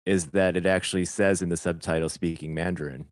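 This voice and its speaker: A man on a podcast talking about a film, weighing his words and qualifying each point. His voice is very deep. The recording is dry and close. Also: steady tone, fairly quick, no room sound. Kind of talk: casual film review discussion.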